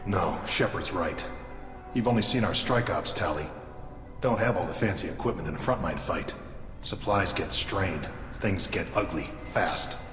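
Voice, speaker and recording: Deep-voiced, clipped alien soldier. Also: male voice, moderate pace, fairly bright tone. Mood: matter-of-fact. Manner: clipped, terse military phrasing. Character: gruff, loyal, deferential alien soldier, plain-spoken. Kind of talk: video game dialogue acting. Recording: echoey reverberant hall, music behind.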